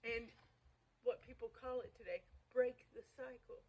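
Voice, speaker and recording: A woman giving informal literary commentary in an emphatic, opinionated and somewhat halting way. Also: on the high side, a little mellow. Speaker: reader and commentator. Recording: dull, muffled lo-fi recording.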